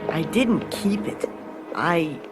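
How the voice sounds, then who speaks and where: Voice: mid-range voice, animated, takes it slowly, over music. A performer playing a brave, earnest young boy in a dramatic read-along storybook adventure. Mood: subdued.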